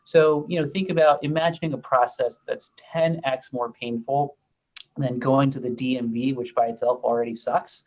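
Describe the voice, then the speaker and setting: A young man with a middle-pitched voice speaks as a startup co-founder. He answers thoughtfully, pausing on ums and restarting phrases as he goes. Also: steady tone, rough recording. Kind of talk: panel interview answers.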